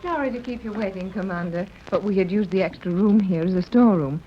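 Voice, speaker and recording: A woman's voice: medium pitch, quick pace, close to an everyday voice. Gracious, motherly woman adventurer played by an actress. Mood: gracious, welcoming. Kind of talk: 1930s radio serial acting. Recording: dull, faintly noisy 1930s radio recording.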